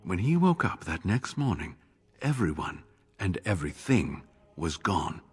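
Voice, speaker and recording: A husky, earnest old scholar. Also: male voice, low-pitched, big pitch swings, soft background music, exaggerated voice. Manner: measured, formal speech. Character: earnest older male scholar, devout and driven. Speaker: game character. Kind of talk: voiced video game dialogue.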